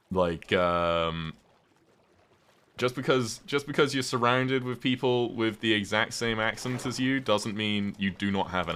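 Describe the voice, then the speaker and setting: A young man with a British accent and a notably deep voice talks loosely to his live chat as he plays. He drifts between topics, filling pauses with "um" and "like". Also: fairly bright tone. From Britain. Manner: rambling, chatty, lots of "um" and "like". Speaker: streamer. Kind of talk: casual live-stream chat while gaming.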